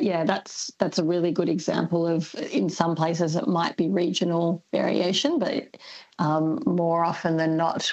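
Creaky-voiced Australian woman explaining things. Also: lowish voice, darkish tone, muffled recording. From Melbourne, Australia. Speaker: linguistics expert guest. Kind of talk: explanatory radio discussion.

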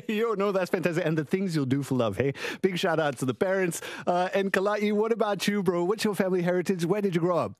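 An upbeat male host leads an interview with a fairly high voice and plenty of casual slang. His voice sounds dry and close to the mic. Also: a little fast, no room sound. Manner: enthusiastic, slangy, says "yo" and "bro" often.

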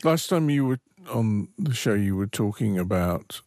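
Hesitant low-voiced male interviewer. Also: lively intonation, normal speed, darkish tone, dry recording, studio quality. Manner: hesitant, stammering restarts. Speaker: interview host. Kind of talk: interview questions.

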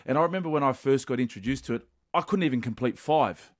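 A man's voice: medium pitch, fast talker. A man chatting casually as a co-host interviewing a guest. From Western Sydney, Australia.

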